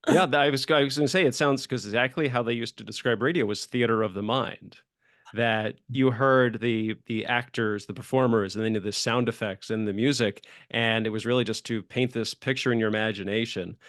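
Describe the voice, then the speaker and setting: A man's voice: mid-range voice, fairly even intonation, fairly quick, a bit bright, no echo, clean studio sound. A man hosting an interview in a casual, chatty and rambling way, often acting out imagined quotes to make his point. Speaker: show host, interviewer.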